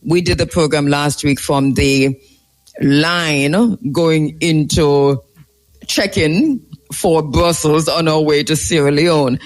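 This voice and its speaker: Man, fairly high voice, radio host, conversational, reflective halting delivery. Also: poor audio. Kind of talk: conversational live radio talk.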